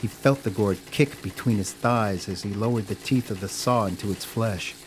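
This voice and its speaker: Low-voiced man narrating a dramatic story. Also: normal speed, heavy background noise.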